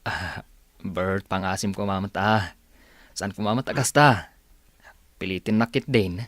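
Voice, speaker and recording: A man's voice: deep voice, a little slow, fairly bright tone, no echo. A man playing a mild, apologetic village neighbour in a radio drama, speaking in a hesitant, conciliatory way. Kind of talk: Ilocano radio drama acting.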